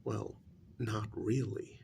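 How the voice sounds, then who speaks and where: A man playing a grave, blunt paranormal investigator in a soft ASMR role-play, speaking haltingly in a breathy, gravelly voice that drops near a whisper. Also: on the low side, little pitch movement, slight background noise. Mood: somber, explanatory. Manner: slow, halting, deliberate delivery with long pauses.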